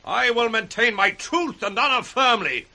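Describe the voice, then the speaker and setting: A man's voice: very high-pitched, lively intonation, moderate pace, pushed and bright, full character voice. An actor playing a cold, ambitious, scheming young nobleman, theatrical and commanding, in 1960s radio Shakespeare. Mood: defiant fury. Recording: faint noise on old radio recording.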